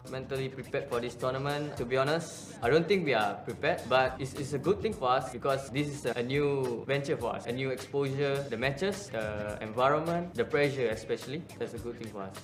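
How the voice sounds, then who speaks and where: A man in his twenties, an esports team captain, talking haltingly about his team in an interview. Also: mid-range voice, echoey room, music in the background. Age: 20s. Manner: halting, with fillers and restarts. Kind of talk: pre-recorded team interview.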